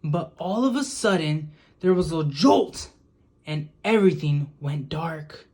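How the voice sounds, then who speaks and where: A woman reading a children's picture book aloud in a deliberate, expressive storytelling manner. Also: deep voice, slightly rough. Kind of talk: storybook read-aloud narration.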